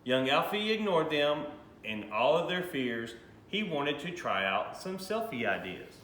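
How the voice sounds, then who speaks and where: A man reads a rhyming storybook aloud for children with an expressive, animated delivery. His voice is bright and swings widely in pitch, recorded in a roomy space with faint noise. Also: a little slow. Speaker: high school principal reading a picture book aloud.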